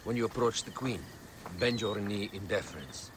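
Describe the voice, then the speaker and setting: An actor playing a composed, courtly royal adviser in an ancient Egyptian video game drama, speaking formally and deliberately. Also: male voice, fairly low pitch, noisy background, natural voice. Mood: counselling, discreet.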